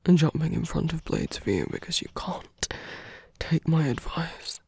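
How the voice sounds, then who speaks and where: A performer voicing a wounded, protective villain in an intimate ASMR roleplay drama, murmuring haltingly in a breathy, husky half-whisper. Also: medium pitch, lively intonation, darkish tone, dry recording, clean studio sound, full character voice. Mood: urgent, scolding. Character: wounded villain, gruffly protective, teasing, tender toward a hero.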